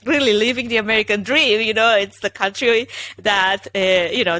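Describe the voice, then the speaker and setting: Romanian-accented woman, energetic storyteller. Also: mid-range voice. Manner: energetic, self-assured, laughs often. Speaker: guest, event production company founder. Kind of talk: podcast interview, conversational storytelling.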